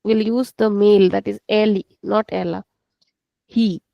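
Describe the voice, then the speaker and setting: A woman's voice: medium pitch, fairly even intonation, a little slow, creaky, warm tone, dry recording, dull audio. A woman with an Indian English accent teaching an online language class, explaining patiently and repeating each point step by step.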